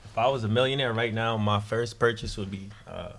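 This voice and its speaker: A man from Saint Lucia, a guest in a casual podcast chat, speaking in a relaxed, thoughtful way with many fillers. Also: lowish voice, brisk, vocal fry.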